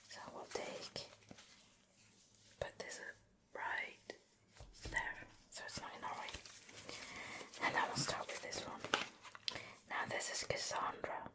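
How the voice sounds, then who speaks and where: A woman doing an ASMR show and tell in a soft, breathy whisper close to the microphone, gently murmuring her commentary. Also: slow pace, long pauses. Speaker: ASMR video creator. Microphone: close microphone, ear-to-ear ASMR recording.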